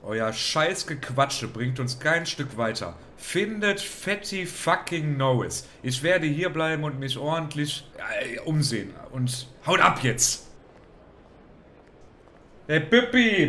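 An actor playing a gruff, crude, bossy male police inspector in a parody detective audio drama. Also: a little high, lively intonation, unhurried, sharp tone, slight background noise. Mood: impatient.

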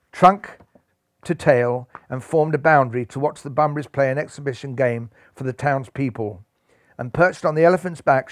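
A man's voice: on the high side, dry recording, clean studio sound. A man, reading a children's story expressively. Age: 60s. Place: small furnished room, seated in armchair indoors.

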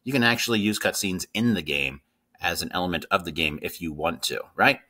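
A man in his thirties with a low-pitched, bright and forward voice walks learners through a coding tutorial. He explains each step informally, checking in with short tag questions as he goes. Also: brisk. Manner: informal, instructive, checks in with "right?" and "okay?". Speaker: coding teacher. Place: indoors at a computer.

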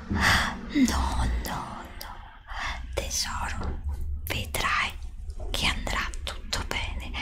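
A woman whispering slowly and soothingly close to the mic in an ASMR roleplay, repeating gentle reassurances as a dentist. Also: fairly high pitch. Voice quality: breathy whisper. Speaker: ASMR creator role-playing a dentist. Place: indoors at a desk before a green screen. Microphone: Blue Yeti desktop microphone, close up.

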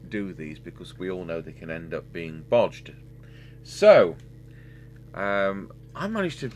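A middle-aged man giving a casual, halting repair demonstration, thinking aloud as a technician at work. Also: low-pitched. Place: indoor workbench. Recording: steady hum and background noise.

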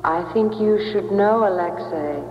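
An actress playing the calm, accusing ghost of a dead woman in a 1970s radio drama, speaking deliberately and knowingly. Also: mid-range voice, slight background noise, lightly acted. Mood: cold, accusing. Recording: muffled old radio, long echoing reverb, music.

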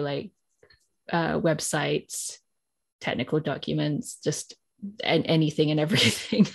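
A British woman with a low, deep voice tells her story in a chatty, self-deprecating way and laughs often. She speaks from a home office on a video call with a headset and desk mic. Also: creaky. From Britain. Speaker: translator panelist. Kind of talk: casual panel talk. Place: home office lined with bookshelves. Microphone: headphones with a desk mic, video call.

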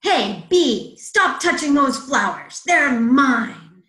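A woman voicing a grumpy, bossy bullfrog in a children's storybook, shouting possessively and emphatically. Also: fairly high pitch, expressive, extremely slow, roomy sound, heavily acted. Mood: bossy, angry. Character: grumpy bossy male bullfrog guarding his lilies.

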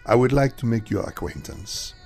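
An actor playing a suave, mysterious French stranger in a radio mystery, courtly and seductive in a French accent. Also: male voice, fairly low pitch, sing-song, moderate pace, vocal fry, darkish tone. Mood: smooth courting. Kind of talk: radio mystery drama acting.

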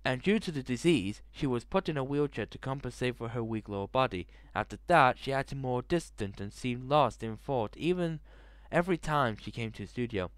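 A man's voice: medium pitch, lively intonation, moderate pace, hardly any pauses, clear, resonant tone, no room sound. A young man hosting a gaming video in his bedroom, reading a visual novel aloud into a headset mic and breaking in with crude jokes. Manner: jokey, swearing, breaks into laughter and asides. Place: bedroom, indoors.

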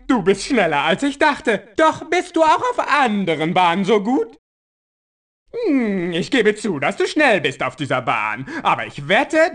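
A man's voice: sits high, a bit bright, heavily acted. An actor playing a pompous, gloating mad-scientist villain in a video game, theatrical and drawing out his words. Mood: smug, challenging.

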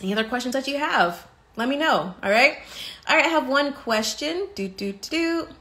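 An American woman in her thirties talks straight into a phone held close, hosting a relaxed live chat from a bright living room. Her voice sits a little high for a woman, and she speaks animatedly, gesturing as she goes. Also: normal speed. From United States. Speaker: podcast host on a live stream. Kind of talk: casual live-stream chat.

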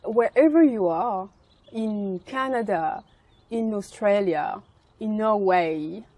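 A young woman from the Republic of the Congo, calmly recounting her background in an interview recorded in a garden. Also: mid-range voice, takes it slowly. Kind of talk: personal interview storytelling. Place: outdoor garden. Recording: faint outdoor ambience.

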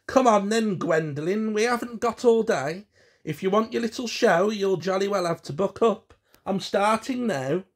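A man's voice: sits high, big pitch swings, unhurried, husky, voice pushed up, full character voice. A man voicing a bossy, impatient male puppeteer in an audiobook, giving imperious orders.

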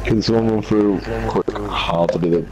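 A man's voice: on the low side, moderate pace, background noise. A man in game voice chat, teasing his friends and laughing easily. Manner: lively, teasing and easy laughing. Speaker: raid teammate. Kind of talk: casual gaming voice chat.

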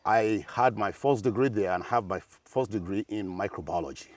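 A middle-aged man with a Nigerian accent, a guest professor answering interview questions in an animated way, his voice rising high as he tells stories. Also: fairly quick, distant mic. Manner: animated, rises high when telling stories, laughs easily. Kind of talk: interview conversation. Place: outdoor garden set, seated. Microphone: lapel.

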